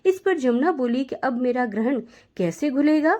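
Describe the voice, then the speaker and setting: A woman narrating devotional folk tales in an expressive storytelling delivery. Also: sits high, normal speed.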